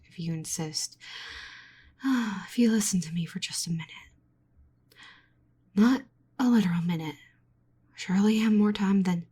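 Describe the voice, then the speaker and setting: A woman playing a nerdy, flustered slime-girl researcher in an ASMR roleplay, speaking softly with fussy, rambling, stammering exasperation. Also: mid-range voice, breathy edge.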